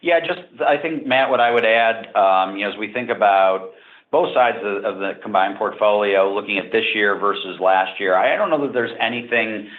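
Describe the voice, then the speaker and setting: A man speaking as a company executive, explaining things off the cuff on an earnings call in measured, hesitant phrases. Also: lowish voice. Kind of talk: unscripted answers on an earnings call. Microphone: conference call line. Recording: narrow, phone-like conference call audio.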